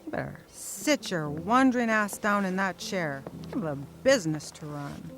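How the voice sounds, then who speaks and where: An actress playing a dry, sarcastic, tired wife who often drops to a whisper. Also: female voice, lowish voice, expressive, moderate pace, music in the background. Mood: wry. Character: wry, weary wife on a road trip. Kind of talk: audio drama acting.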